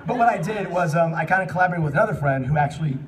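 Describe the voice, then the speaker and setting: A man casually hosting a live convention panel, chatting in an animated, jokey, informal way. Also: a little high, racing. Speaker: panel host. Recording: distant mic in noisy convention room.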